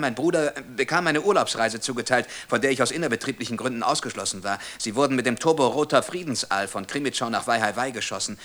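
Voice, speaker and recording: An actor playing a servile, easily flustered state telephone clerk in a radio play, stammering and officious, quick to turn from indignation to obsequiousness. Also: male voice, mid-range voice, bright, forceful tone. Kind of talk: German radio play acting.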